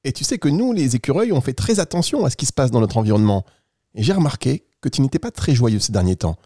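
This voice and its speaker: A man playing a soft-voiced, kindly little squirrel. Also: medium pitch, sing-song, quick pace, no echo, studio quality. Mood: gentle, friendly. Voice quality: soft, gentle voice. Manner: patient, explaining simply to a child. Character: kind, reassuring talking red squirrel of children's tale.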